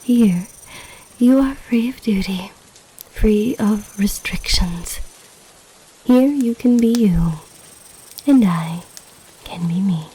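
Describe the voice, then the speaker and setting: An imperious lamia empress, acted by a woman, for a romantic fantasy audio roleplay. She speaks slowly and formally, with a breathy, mellow voice that often sinks toward a whisper. Her manner is commanding and seductive. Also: mid-range voice, poor audio. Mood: seductive, longing. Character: imperious, amorous lamia empress, half-serpent ruler.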